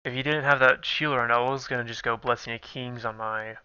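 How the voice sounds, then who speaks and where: A man's voice: fairly even intonation, brisk, sharp tone, smooth, no echo. A young man with a stuffy nose, casually thinking aloud while commentating a card game. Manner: thinking aloud, low-key. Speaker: gameplay commentator. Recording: dull lo-fi recording.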